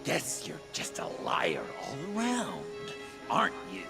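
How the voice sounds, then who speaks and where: A man's voice: mid-range voice, wildly swooping pitch, unhurried, creaky, heavily acted. A man voicing a gleeful, unhinged clown villain in a video game, theatrical and taunting, wounded and vengeful underneath. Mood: taunting, menacing. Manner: theatrical, taunting, swinging from hushed to shrieking. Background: music.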